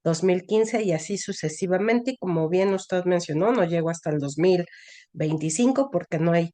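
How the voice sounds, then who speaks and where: A woman's voice: lowish voice, warm tone, no room sound. A woman moderates an academic seminar session, thanking one speaker and introducing the next, with frequent "eh" and "este" fillers. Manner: unhurried, hesitant with "eh" and "este" fillers.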